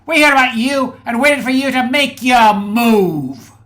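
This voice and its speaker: Woman acting an eager, high-pitched little boy. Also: expressive, unhurried, a bit bright. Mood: suspicious, bold. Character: eager little boy who is an undercover cop. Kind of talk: amateur radio play acting.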